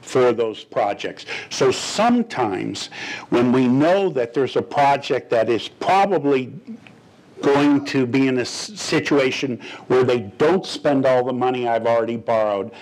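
A man's voice: mid-range voice, expressive, a little slow, a little mellow, rough recording. An older man on a desk microphone in a boardroom, explaining a financial item to a board in a plain-spoken, gesturing way with frequent restarts. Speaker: finance official. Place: boardroom, indoors. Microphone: desk microphone on a stand.

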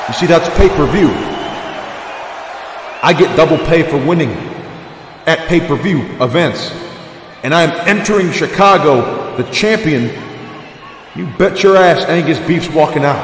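A defiant drifter-turned-champion wrestler, voiced by a man cuts a blunt promo in a wrestling game, swearing freely. His voice sits a little high, and it rings out with a roomy echo and a long reverb tail. Faint ambience hums underneath. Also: a little slow, long pauses, a little hiss or hum.

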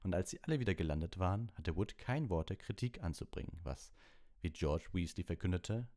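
A man's voice: low voice, animated, fairly quick, mellow. A man reading a story expressively as an audiobook narrator.

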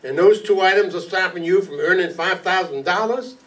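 Man playing a stuffy suspicious rich lawyer, highish voice, old radio drama, distant mic. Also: brisk, fairly bright tone. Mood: skeptical. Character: indignant, skeptical well-to-do lawyer husband. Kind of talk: 1950s radio noir acting. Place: stage in an indoor meeting hall, live reading.

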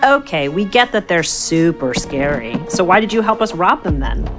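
A woman's voice: on the low side, very animated, normal speed, a bit bright, faint music behind. An actress playing a cocky, persuasive young woman with a glib, sarcastic way of talking in a video game cutscene. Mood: sardonic.

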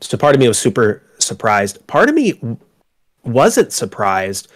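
A man's voice: mid-range voice, huge pitch swings, unhurried. A man with a congested, slightly sick voice, talking casually as a guest about running his tabletop game company, with many fillers and restarts. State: congested from a cold. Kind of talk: casual interview conversation. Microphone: home microphone on a call.

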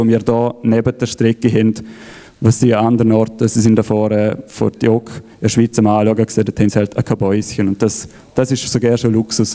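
Man, stage presenter, dark flat delivery, halting with fillers. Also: medium pitch. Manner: halting, many fillers and restarts. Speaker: event organizer presenting. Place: event hall, on stage.

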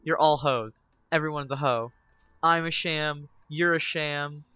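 A male game streamer in casual live chat with viewers, excitable and teasing, laughing often. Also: fairly high pitch, unhurried, smooth, no echo, highs cut off.

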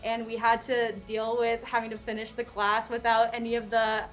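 A woman's voice: fairly high pitch, monotone, normal speed, fairly bright tone, faint music behind. A young woman design student giving thoughtful interview answers. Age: young adult.

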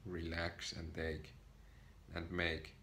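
A young Finnish man with a Finnish accent speaks haltingly to a camera while sitting on a sofa in a small room. His voice is very deep and gravelly, yet it has a bright edge. He talks slowly and flatly, in short, hesitant bursts, with faint room noise behind him. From Finland. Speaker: vlogger. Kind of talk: personal vlog to camera. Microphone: camera in front of him.

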